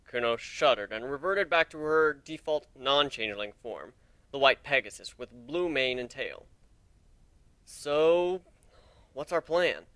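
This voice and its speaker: A man's voice: medium pitch, moderate pace, sharp tone, no echo. A man reading a story aloud as narrator, in a dramatic audiobook style. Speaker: narrator of a fan fiction reading.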